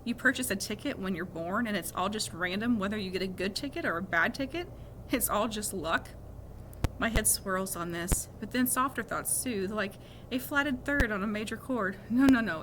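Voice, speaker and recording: A woman's voice: mid-range voice, a bit bright, slight background noise, poor audio. A woman reading a children's novel aloud expressively, now and then correcting a small slip. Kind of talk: read-aloud storytelling.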